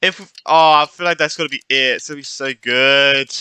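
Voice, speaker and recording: A man giving casual, exclamatory playthrough commentary as an excitable game streamer. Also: medium pitch, a little fast, clear, resonant tone, no echo. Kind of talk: casual playthrough commentary, reading lines along.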